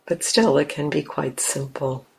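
A woman leading a guided meditation as a teacher, speaking gently in simple, unhurried phrases with long pauses. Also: deep voice, vocal fry, slightly rough, rough recording.

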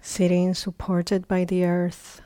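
A woman teaching guided meditation, speaking in short, unhurried phrases with long pauses between them. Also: lowish voice, monotone, warm tone, dry recording.

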